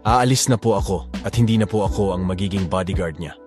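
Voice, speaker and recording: A man voicing a young male bodyguard who speaks quietly and with resignation. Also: sits low, quick pace, soft background music, natural voice. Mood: resigned. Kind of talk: Tagalog audio drama acting.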